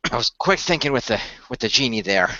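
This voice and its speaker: A man playing a pragmatic, weary team boss in an amateur radio play, speaking in a measured, matter-of-fact way. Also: mid-range voice, animated, bright, forceful tone, no room sound, muffled recording. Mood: concerned.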